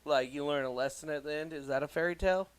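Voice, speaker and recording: A man with a somewhat high-pitched voice chats as a co-host on a comedy podcast. He is blunt and informal, leaning on slang and casual swearing. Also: quick pace, clear, resonant tone. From United States.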